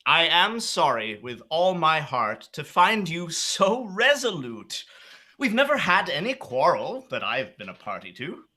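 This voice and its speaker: A cheerful, earnest nephew played by a man in a radio drama. His voice is very bright and high for a man, with slow, swooping, theatrical phrasing. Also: big pitch swings, exaggerated voice. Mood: pleading, sincere. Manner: earnest, declamatory, emphatic. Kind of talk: theatrical radio play acting.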